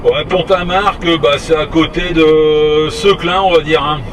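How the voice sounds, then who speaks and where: A man's voice: a little high, measured pace. A man chatting casually as a CB radio operator, in a hesitant, rambling way. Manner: hesitant, rambling, tag words at phrase ends. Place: inside a moving vehicle. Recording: distant mic, steady vehicle noise.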